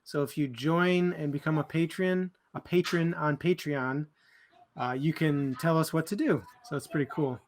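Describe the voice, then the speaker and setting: A man's voice: fairly high pitch, moderate pace, slight background noise. A man co-hosting a book club livestream in casual, informal chat with a bit of joking.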